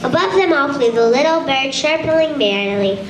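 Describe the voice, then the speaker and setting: A woman with a high voice narrates a children's tale for a puppet show, reading from a script. She sounds distant in a reverberant room, with clear noise around her. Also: lively intonation, normal speed. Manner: stumbles over words and rereads phrases. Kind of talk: scripted story read aloud for a children's shadow puppet show. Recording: echoey room, far from mic, noisy.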